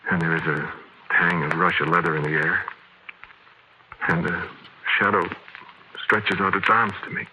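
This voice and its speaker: An actor playing a sleepy, haunted man who tells his eerie tale haltingly in an old radio drama. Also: deep voice, fairly even intonation. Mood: drowsy, uneasy. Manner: hushed, halting, dreamlike storytelling. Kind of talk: 1940s radio drama first-person narration. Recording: noisy vintage radio recording.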